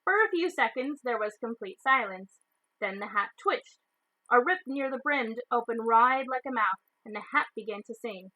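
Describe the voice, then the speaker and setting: A woman storyteller reading a children's novel aloud in expressive narration. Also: fairly high pitch, moderate pace, dry recording, poor audio.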